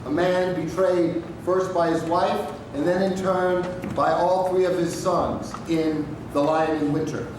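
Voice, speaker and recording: A man's voice: on the high side, fairly even intonation, measured pace, few pauses. A man giving a formal, scripted introduction as an announcer. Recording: echoey hall from far off, noisy.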